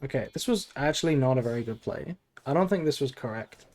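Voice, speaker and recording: A sleepy man chatting casually on a game stream, musing aloud in a low-key way. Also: lively intonation, quick pace, darkish tone. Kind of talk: casual gameplay stream chat and deck analysis.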